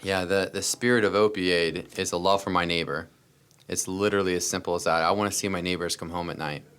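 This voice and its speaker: A man's voice: low-pitched, steady tone, fairly quick, a bit bright, clean tone. An American man telling personal stories as a podcast interview guest, earnest and repeating phrases for emphasis. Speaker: interview guest, startup founder.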